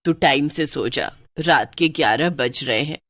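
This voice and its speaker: A woman voicing a caring, worried older mother in a cartoon story, gentle and concerned. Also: low-pitched, measured pace, dry recording, voice pushed down. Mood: tender concern. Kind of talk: cartoon moral story acting.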